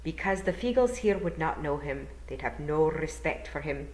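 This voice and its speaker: Lady doing an old pixie bard guy for storytime, slow n polite, rolls his r's, wistful, echoey room. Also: low voice, lively intonation, a little mellow. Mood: courteous. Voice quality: rolled R's. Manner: clear, slow, polite, formal.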